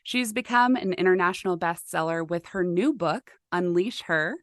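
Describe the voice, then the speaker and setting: A woman's voice: lowish voice, moderate pace, a bit bright, dry recording, studio quality. A woman hosting a podcast, reading a guest introduction and prompting her guest with short, encouraging replies. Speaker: podcast host. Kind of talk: scripted guest introduction and interview.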